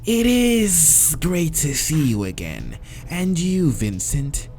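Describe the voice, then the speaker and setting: A man voicing a courteous, grinning male monster assistant in a visual novel, with drawn-out, unhurried speech. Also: fairly high pitch, huge pitch swings, a little mellow, faint music behind, voice pushed up, full character voice. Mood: courteous, smug. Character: polite, grinning, slow-spoken monster assistant named Vec, male.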